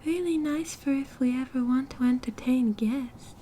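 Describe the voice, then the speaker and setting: A woman's voice: high-pitched, normal speed, mellow, a little hiss or hum, natural voice. A British woman voicing a cheerful, possessive young yandere kidnapper in an ASMR roleplay, with a cutesy, doting manner. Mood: excited, doting. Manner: giggly, cutesy, doting, breezy. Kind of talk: ASMR roleplay, intimate one-sided conversation. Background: car driving sounds.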